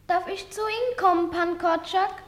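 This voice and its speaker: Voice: very high voice, steady tone, normal speed, a bit bright. A performer playing a small, sick, trusting orphan boy in a German radio play, with a plaintive, childlike delivery. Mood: pleading.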